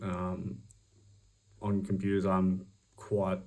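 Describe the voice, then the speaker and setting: A young man with an Australian accent giving a self-recorded job application pitch, upbeat and self-promoting, with many ums and restarts. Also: completely flat delivery, slow pace, darkish tone, far from the mic.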